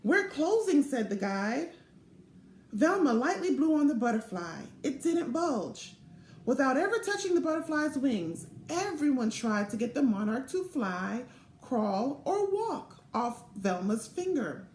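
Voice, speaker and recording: A middle-aged woman reading a children's storybook aloud in a deliberate, expressive way, recorded in a small room. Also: high-pitched, a little hiss or hum. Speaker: volunteer storybook reader. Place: small indoor room or home office. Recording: roomy, echoing home webcam recording.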